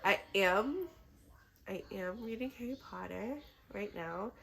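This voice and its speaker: A woman's voice: medium pitch, slow, gravelly, fairly bright tone, slight background noise. A woman who is a little sick chats casually and enthusiastically to camera as a book vlogger, in an informal way.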